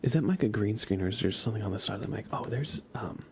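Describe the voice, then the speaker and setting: A man hosting an ASMR livestream, chatting casually in a soft whisper. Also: lowish voice, quick pace, noisy background. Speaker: livestream host.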